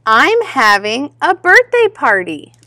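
Woman voicing an earnest little boy rabbit, high and bright. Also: huge pitch swings, slow pace, heavily acted. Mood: proud. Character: proud little boy rabbit, nearly five, earnest and curious.

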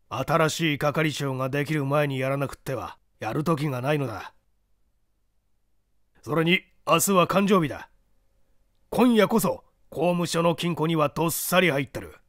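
A man voicing a bold young ringleader of a workers' gang in an audiobook, rough, commanding and threatening. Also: fairly high pitch, fairly bright tone, dry recording, studio quality. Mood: urging, conspiratorial.

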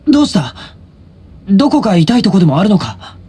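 An actor playing a hot-headed, protective teenage schoolboy hero in an anime-style video game, speaking roughly and bluntly. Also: male voice, high voice, big pitch swings, brisk, warm tone, full character voice. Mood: relieved, gruff. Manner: rough, blunt boyish speech.

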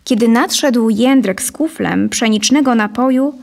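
Narrator, woman in her thirties: scripted storytelling, higher-set voice, noticeable fry, close studio mic. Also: a little slow, a little mellow. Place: dim studio set, indoors. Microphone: close studio condenser microphone.

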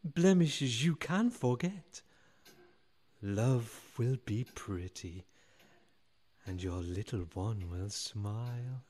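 A man's voice: medium pitch, expressive, very slow, pauses often, a little mellow. A man playing a whimsical, ancient sprite-like old man in a radio play, crooning in a sing-song way. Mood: dreamy, tender.

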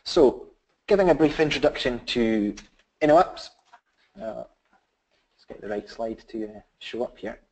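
A middle-aged man with a Scottish accent presenting a business webinar, halting with many fillers and restarts. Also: mid-range voice, lively intonation, darkish tone, low-quality recording. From Scotland.

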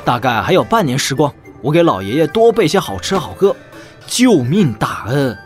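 Lively, cocky young prince. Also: male voice, fairly high pitch, moderate pace, faint music behind. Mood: sincere. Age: young adult. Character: young lordly prince, cocky, teasing, sardonic. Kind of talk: Chinese wuxia audio drama acting.

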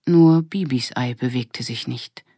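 A woman's voice: a bass voice, unhurried, dark tone, slightly rough, no echo, clean studio sound. A woman narrating a children's audiobook in a scripted storytelling style.

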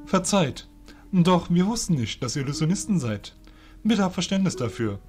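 A man's voice: sits high, very animated, normal speed, darkish tone, faint music behind. A man playing a courteous but stern male fairy warrior with formal, archaic speech in a fantasy audio drama.

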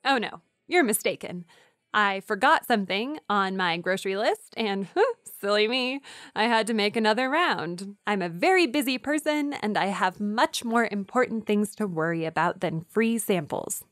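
A woman's voice: medium pitch, animated, moderate pace, fairly bright tone, no echo, studio quality, natural voice. Flustered, defensive shopper played by a woman. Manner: flustered, over-explaining. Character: self-conscious, defensive grocery shopper. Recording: faint music behind.

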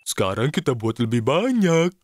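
A man voicing an eager, impatient little cartoon fellow with childlike excitement in a children's cartoon dub. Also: medium pitch, moderate pace, lightly acted. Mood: eager, confident. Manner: excitable, exclamatory.

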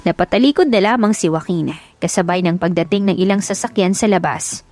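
A woman's voice: lowish voice, fairly quick, darkish tone, faint music behind. A woman reading a scripted love story as a dramatic audiobook narrator.

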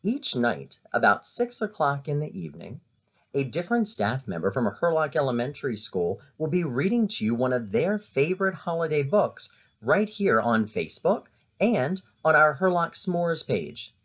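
A middle-aged man, a teacher, reading a children's storybook aloud with dramatic emphasis and drawn-out repeated words. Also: a little high, sing-song, fairly bright tone. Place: indoors in a living room at home.

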